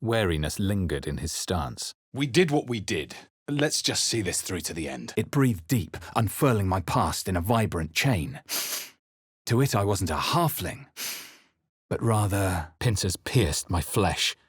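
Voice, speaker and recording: Brooding fantasy game hero, deep husky voice, wary and measured, very dry recording. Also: male voice, very animated, no room sound, full character voice. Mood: wary, musing. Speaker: video game voice actor. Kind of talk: dramatic fantasy game narration and character lines.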